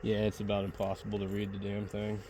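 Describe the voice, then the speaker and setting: A man's voice: on the low side, monotone, fast, mellow. A man giving a casual, plainspoken, rambling hands-on demonstration as a radio hobbyist while setting up gear outdoors. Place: outdoors in a backyard. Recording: noisy outdoor recording, uneven mic distance.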